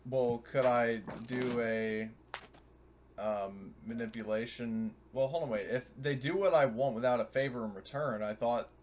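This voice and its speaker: A man's voice: mid-range voice, unhurried, a little mellow, a little hiss or hum. A man playing a tabletop game, chatting casually and thinking aloud in a rambling, sweary way.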